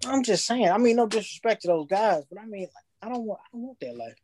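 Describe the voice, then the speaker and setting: A young man, telling his story in casual podcast chat, slangy and animated, acting out his past thoughts. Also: high voice, quick pace, dry recording, studio quality.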